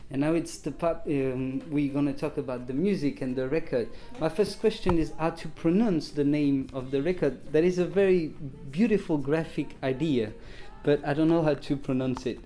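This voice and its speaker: Guy doing a music interview, soft dark tone, halting english, bit of music behind him. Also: a little high. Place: apartment.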